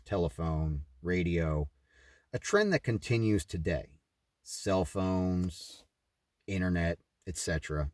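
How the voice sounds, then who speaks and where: A man with a very low voice reads a religious book aloud as scripted narration. He sits well back from the microphone, and the room adds a noticeable echo around his words. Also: darkish tone, clean studio sound. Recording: roomy echo, distant from the mic.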